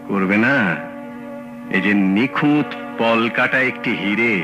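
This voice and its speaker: An actor playing a shrewd, inquisitive train passenger who reasons aloud, in a vintage radio drama. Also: male voice, medium pitch, slow, loud music behind the voice, lightly acted. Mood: probing. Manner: reasoning aloud, lively and probing.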